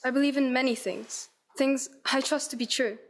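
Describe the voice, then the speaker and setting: Woman doing a heartbroken young fiancee, high voice, formal old-fashioned talk, radio drama, resolute. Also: fairly even intonation, moderate pace. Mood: reproachful. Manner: earnest, formal period phrasing. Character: Belle, heartbroken, resolute young fiancée releasing her betrothed. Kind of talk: radio play acting.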